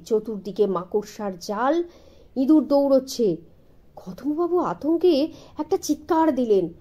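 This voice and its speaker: Woman narrator, children's storytelling, high voice, highly animated intonation, deliberate dramatic pauses, mellow tone.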